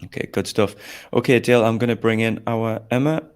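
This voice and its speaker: A man's voice: lowish voice, steady tone, brisk, smooth. A man hosting a live online showcase, interviewing guests in an informal, encouraging and teasing way, often rephrasing his questions. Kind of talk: informal, upbeat event interview.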